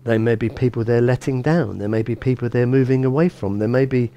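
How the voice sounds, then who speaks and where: Mellow-voiced bishop chatting a sermon. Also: male voice, mid-range voice, steady tone, a little fast, muffled recording. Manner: conversational, many restarts and "you know". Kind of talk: informal sermon. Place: small church with a lectern.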